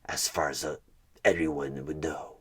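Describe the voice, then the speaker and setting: A man playing a sinister, manipulative demonic job interviewer in a horror ASMR roleplay, with a gravelly growl that breaks into breathy whispers and a menacing, deliberate delivery. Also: a bass voice, little pitch movement, a bit bright, slight background noise. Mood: taunting, persuasive. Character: sinister male demonic tempter offering a corrupt deal. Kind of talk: one-sided horror ASMR audio roleplay.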